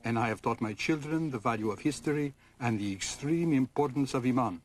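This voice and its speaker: A man interpreting into English, in a measured, solemn and declarative way. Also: mid-range voice, slight background noise. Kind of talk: formal consecutive interpreting.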